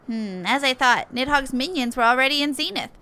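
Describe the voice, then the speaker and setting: Woman voicing a high, bright, plucky little moogle. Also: brisk. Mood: earnest, dutiful. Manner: plucky, exclaiming. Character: small earnest moogle guard creature, squeaky and dutiful. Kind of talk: expressive read-aloud of game dialogue.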